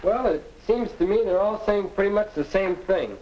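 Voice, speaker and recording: A young man with an American accent playing a confident high school reporter who earnestly preaches advice to a friend in a 1950 instructional film. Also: moderate pace, slight background noise, close to an everyday voice. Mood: earnest, probing. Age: young adult. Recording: dull 1950 film soundtrack.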